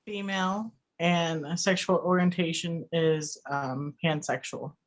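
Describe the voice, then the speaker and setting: A woman's voice: fairly low pitch, steady tone, slow. A woman of twenty-three giving brief, candid interview answers.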